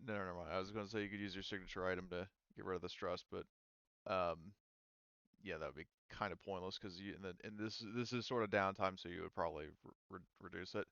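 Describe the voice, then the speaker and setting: A man working as game master, casually explaining tabletop game rules in a halting, rambling way. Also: deep voice, steady tone, vocal fry, a bit bright, clear, resonant tone, dry recording, highs cut off.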